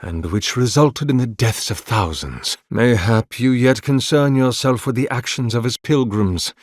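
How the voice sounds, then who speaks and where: An actor voicing an ancient, devout godlike priest in a video game, formal, courteous and melancholy, speaking in measured, archaic phrases. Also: male voice, medium pitch, no room sound, clean studio sound, close to an everyday voice. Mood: solemn, courteous. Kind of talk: solemn video game character dialogue.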